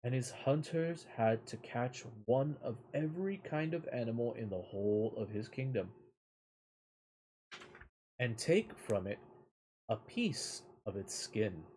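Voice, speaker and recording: A man reading a fairy tale aloud as an amateur storyteller, sometimes halting over the words. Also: medium pitch, lively intonation, mellow, a little hiss or hum, poor audio.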